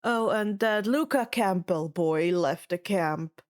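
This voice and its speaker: A woman playing a jaded, sardonic male god in an audiobook, with a deadpan drawl. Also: mid-range voice, slow, lightly acted. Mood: drawling, flat.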